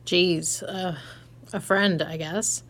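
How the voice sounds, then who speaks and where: A woman's voice: on the low side, fairly even intonation, slow pace, a bit bright. A woman playing a blunt, laid-back, sarcastic character in a naturalistic audio drama, with a casual, slangy delivery. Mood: casual, game.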